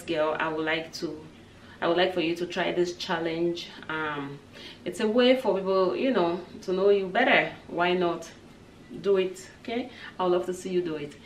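Female vlogger, mid-thirties, lowish voice, informal chat, echoey room with mic set back. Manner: informal, addresses viewers as guys. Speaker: family lifestyle vlogger. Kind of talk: casual chat to camera, answering tag questions. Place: indoors, small set with wooden backdrop. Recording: roomy, distant mic, background noise.

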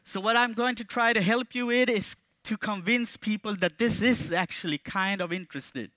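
Swedish man in his thirties giving a jokey stage talk; high, clear voice, close and dry on a headset mic. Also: moderate pace, no echo. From Sweden. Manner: playful, jokey, with animated jumps in pitch and volume. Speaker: conference speaker. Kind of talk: stage presentation. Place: large dark auditorium stage with audience.

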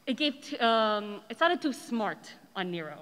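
A woman's voice: a little high, very animated, measured pace, fairly bright tone. A woman asking a casual fan question from the audience, rambling, hesitant and apologetic. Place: large indoor convention panel room. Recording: echoey hall, long reverb.

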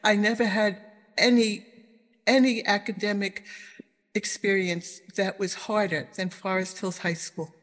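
A woman's voice: medium pitch, steady tone, unhurried, long reverb tail. Emphatic woman with a New York accent. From New York, Queens. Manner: chatty, emphatic, repeats and restarts phrases. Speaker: guest, novelist and literary scholar. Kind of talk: interview answers, storytelling.

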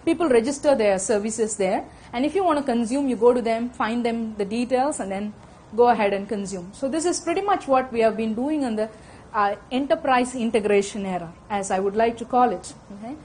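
Fast-talking woman with Indian accent. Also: a little high, a little mellow, a little hiss or hum. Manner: fast-talking, frequent "okay?" check-ins. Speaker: lecturer. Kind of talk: technical lecture to an audience. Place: conference room with audience. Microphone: handheld microphone.

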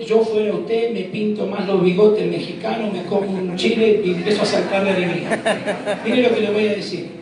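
A middle-aged man with an Argentine accent, preaching a fervent sermon with shouts and quips to a packed church. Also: high-pitched, distant mic. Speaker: pastor. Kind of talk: energetic sermon. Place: large packed church hall. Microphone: handheld mic. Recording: echoey hall, crowd noise. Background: congregation noise.

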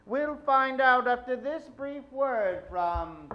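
A man's voice: very high-pitched, expressive, slow pace, lo-fi sound. A man in his thirties narrating and announcing in a theatrical old-time radio style. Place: live theater stage. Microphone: vintage-style microphone at podium.

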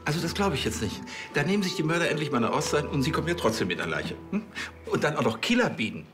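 An actor playing a pedantic, exasperated police chief in a crime comedy, officious and sarcastic. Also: male voice, a little high, sing-song, quick pace. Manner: officious, sarcastic, tags sentences with hm.

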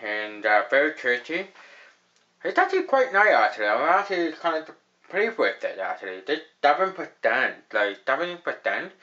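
A man's voice: medium pitch, very animated, moderate pace, bright, forceful tone, highs cut off. A young man hosting a casual beer review at a table in a small room, rambling with lots of fillers and repeating "actually". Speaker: host of a beer review show.

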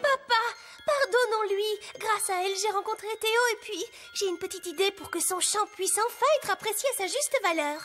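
Kind, cheerful fairy-tale princess for a cartoon, tiny girlish voice, very high. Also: normal speed, music in the background. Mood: kind, pleading. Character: sweet young singing princess, kind and cheerful.

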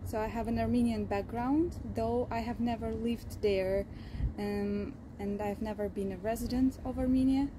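Young woman, from Russia, interview answers, reflective pauses, outdoor background noise. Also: on the high side, fairly even intonation. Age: young adult. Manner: thoughtful, pausing with ums. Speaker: summer school participant. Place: outdoors beside a building, under a canopy.

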